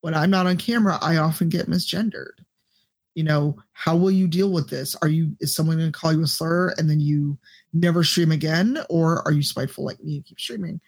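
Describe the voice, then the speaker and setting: Deep-voiced woman on a podcast, kinda husky, giving blunt no-nonsense streaming advice. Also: fairly quick, darkish tone. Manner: blunt, profane, straight-talking advice.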